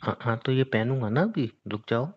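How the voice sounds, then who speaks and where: A man's voice: mid-range voice, fairly quick, slightly rough, natural voice. A young man playing a lazy, evasive boyfriend in an acted phone-call drama, making casual, whiny, defensive excuses. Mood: sheepish, stalling. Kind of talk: acted phone-call conversation between a couple.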